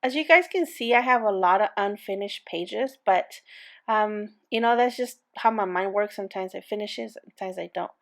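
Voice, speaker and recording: A woman's voice: medium pitch, moderate pace, vocal fry. A woman with an accent hosting a casual crafting tutorial, chatty and rambling with many fillers and restarts. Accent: non-native English accent. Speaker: crafting channel host.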